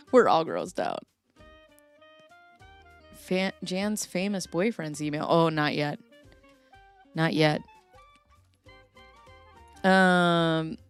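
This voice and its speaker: A woman's voice: lowish voice, animated, extremely slow, pauses often, a bit bright, clear, resonant tone, soft background music. A woman hosting a podcast, chatting casually with wry asides while going through documents. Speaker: podcast host. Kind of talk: casual commentary chat.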